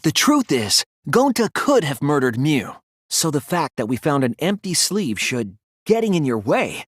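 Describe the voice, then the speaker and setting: Casting: a thoughtful teen boy sleuth for a game dub; earnest, deliberate, slightly breathy, very dry close sound. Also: a little high, very animated, dry recording. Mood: reasoning, earnest. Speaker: video game voice actor. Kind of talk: English video game dub, dramatic deduction dialogue.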